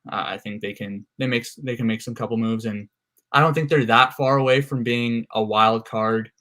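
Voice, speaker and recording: Flat, steady-voiced man talking sports. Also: mid-range voice. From Canada. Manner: steady and measured, frequent "uh"s. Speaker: guest co-host. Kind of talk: casual sports analysis.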